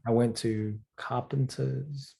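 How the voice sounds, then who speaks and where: A man from the UK, speaking thoughtfully in a conversational interview, often pausing with fillers and checking in with "right?". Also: medium pitch, steady tone, gravelly, dark tone. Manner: thoughtful, hesitant, with frequent fillers and rhetorical "right?". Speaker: author and guest.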